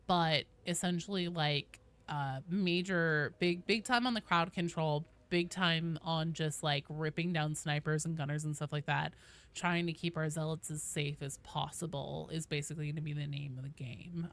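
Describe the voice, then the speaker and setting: Bright-voiced woman streaming games. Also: low voice. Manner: informal, sweary, gamer slang. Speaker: game streamer. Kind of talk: casual live gameplay commentary to chat and teammates.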